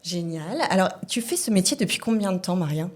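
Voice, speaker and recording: A woman's voice: on the low side, expressive, a little fast. A woman hosting a podcast interview, asking questions and affirming with short backchannels. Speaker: podcast host.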